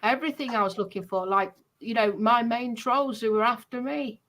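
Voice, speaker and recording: A woman streamer chatting casually in a halting way, often repeating herself. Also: on the high side, fairly bright tone. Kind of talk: casual chat.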